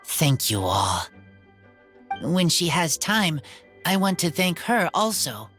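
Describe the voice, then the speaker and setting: A man playing an earnest wild teenage boy in a video game, speaking in halting, simple phrases. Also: on the high side, sing-song, a bit bright, soft background music, heavily acted. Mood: earnest, gentle. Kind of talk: video game character acting.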